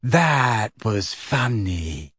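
A man voicing a vague, dreamy teenage witch girl who speaks slowly and bluntly in an audiobook story. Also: on the low side, big pitch swings, a bit bright, husky, no echo, studio quality, exaggerated voice. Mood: helpless mirth. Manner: vague, slow, thoughtful, unhurried.

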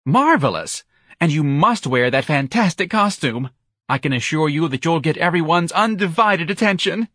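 A man voicing an effeminate young man, breathy, gushing and dramatic, as a character in an audiobook. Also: medium pitch, very animated, normal speed, fairly bright tone, no room sound, studio quality, voice pushed up. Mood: gushing, delighted.

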